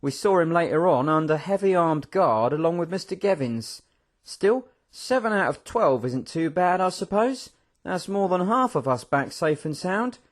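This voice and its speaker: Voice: mid-range voice, lively intonation, normal speed, pitched above the performer's own voice, close to an everyday voice. A reader doing a jaunty boastful escapee. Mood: easygoing. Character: cocky escape-artist man, jaunty and boastful. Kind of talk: audiobook character voice.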